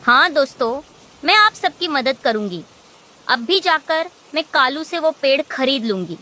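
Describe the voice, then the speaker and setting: A kind little female sparrow, acted by a woman, talks in a high, bright voice. She sounds friendly and assured, a clever helper in an animated moral tale. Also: unhurried, exaggerated voice. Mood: reassuring. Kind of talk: animated children's moral story dialogue.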